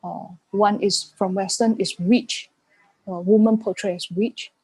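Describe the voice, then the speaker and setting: A woman giving reflective answers as an interview guest, haltingly searching for her words. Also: medium pitch, fairly even intonation, darkish tone, breathy edge, dry recording, low-quality recording. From Malaysia. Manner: halting, searching for words in non-native English. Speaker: art curator and panel guest.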